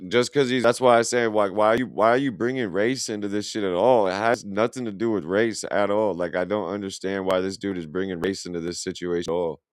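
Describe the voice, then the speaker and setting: A man's voice: lowish voice, steady tone, a little fast, hardly any pauses, clear, resonant tone, dry recording, studio quality. A young man, reacting to a video in blunt, profane, rambling casual commentary. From Illinois, USA. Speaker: reaction streamer.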